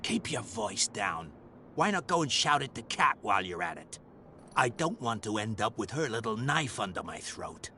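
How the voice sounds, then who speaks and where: A man's voice: fairly low pitch, very animated, moderate pace, pushed and bright, a little hiss or hum. An actor voicing a nervous but rude male bootlegger in a video game, blunt and jumpy. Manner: blunt and jittery.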